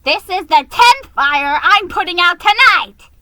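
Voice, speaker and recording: Cross storybook fire mouse, stern and scolding, pushed high and loud played by a woman. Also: animated, measured pace, no echo, heavily acted. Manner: stern, forceful.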